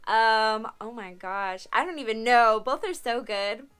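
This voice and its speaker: Young woman, twenties, casual vlogger, slightly high voice, chatty and rambling, webcam sound. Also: a little slow, a bit bright, smooth. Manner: chatty, frequent "um" and "like", laughs often. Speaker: car vlogger answering viewer questions. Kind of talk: casual Q&A vlog. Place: small bedroom, indoors.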